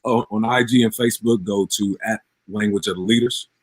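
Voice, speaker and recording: A man's voice: lowish voice, steady tone, a little fast, no echo. A man in his forties, a pastor speaking earnestly as an interview guest, repeating phrases for emphasis in a preacher-like way. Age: 40s.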